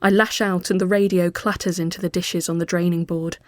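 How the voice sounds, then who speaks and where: A woman reading a literary ghost story as scripted narration, measured and often hushed as phrases end. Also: lowish voice, level tone, no echo, clean studio sound.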